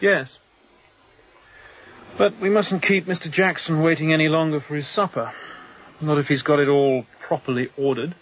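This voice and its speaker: A man acting a young, introspective sea captain in a radio drama, speaking in a measured, reflective, self-doubting way. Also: a little high, steady tone, a little hiss or hum, close to an everyday voice. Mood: pensive, courteous. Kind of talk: radio drama acting with hushed interior monologue. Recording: dull, lo-fi radio drama recording.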